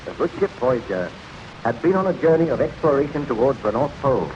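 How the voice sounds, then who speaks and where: A man's voice: mid-range voice, big pitch swings, a little fast, background noise. A man narrating a 1930s radio drama in a formal, theatrical storytelling style. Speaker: announcer and narrator. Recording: muffled 1930s radio recording. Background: music.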